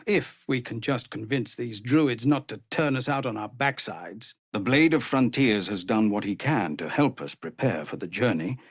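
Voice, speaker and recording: An actor playing a weary, dutiful leader of refugees in a video game, speaking in an earnest, measured, formal manner. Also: male voice, mid-range voice, no echo, clean studio sound. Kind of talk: dramatic video game voice acting.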